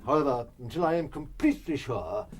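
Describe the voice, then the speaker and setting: An actor playing an eccentric, self-assured gentleman detective in a radio drama, musing aloud and making deliberate, pompous pronouncements. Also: medium pitch, expressive, close to an everyday voice. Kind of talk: full-cast radio drama acting.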